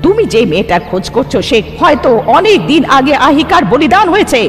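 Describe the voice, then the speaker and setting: Horror cartoon aunt, played by a man: stern old villager, very high, swooping delivery, ominous. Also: big pitch swings, fast, a bit bright, full character voice. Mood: ominous warning. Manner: ominous, forbidding. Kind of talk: animated horror cartoon acting. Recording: long hall reverb over music.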